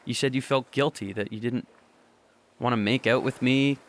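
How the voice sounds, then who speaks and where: A young man playing an earnest, nervous teenage boy in love, speaking softly and stammering in a student radio drama. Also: mid-range voice, fairly even intonation, lightly acted. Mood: conflicted. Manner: halting, stammering, soft.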